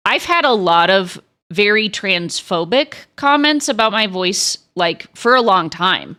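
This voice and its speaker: A young woman with a crackly, lower voice, chatting frankly with stream viewers and swearing freely. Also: animated, measured pace, sharp tone. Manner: frank, swears a lot, many restarts. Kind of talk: casual chat to stream viewers.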